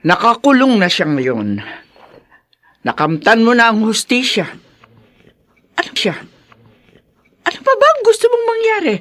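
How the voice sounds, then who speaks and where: A woman's voice: on the high side, wildly swooping pitch, measured pace, long pauses, a bit bright, heavily acted. An actress playing a sorrowful middle-aged mother of grown sons in an audio melodrama, pleading and hesitant. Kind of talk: melodramatic audio drama acting.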